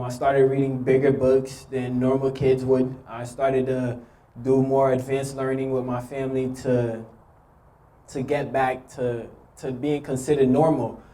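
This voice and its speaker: A young man from Haiti nervously telling his own story to an audience, with many pauses and ums. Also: mid-range voice, warm tone, poor audio. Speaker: youth speaker sharing his story. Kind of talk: personal testimony speech.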